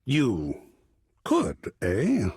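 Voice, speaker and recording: A man's voice: medium pitch, huge pitch swings, extremely slow, a little mellow, breathy edge, dry recording, studio quality, heavily acted. An actor voicing an effusive, grandiloquent art connoisseur in a video game, savoring his words with dramatic flair. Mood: effusive, intrigued. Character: effusive, theatrical art connoisseur, a living piece of artwork. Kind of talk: theatrical game character dialogue.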